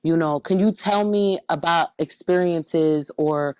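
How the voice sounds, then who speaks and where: A young woman in her twenties from Camden, New Jersey hosts an interview, warmly summing up and affirming what her guest has been through. Her voice sounds dry, with almost no room echo, and she sits on a sofa in a small indoor studio. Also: deep voice, measured pace. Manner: affirming, reflective, sums up the guest's story. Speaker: interview host. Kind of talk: conversational interview hosting.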